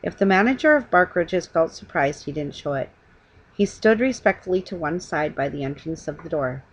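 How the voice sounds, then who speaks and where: Lower-pitched woman reading a storybook. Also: lively intonation, moderate pace, poor audio. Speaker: storybook reader. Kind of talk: informal read-aloud of a children's story. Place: living room at home.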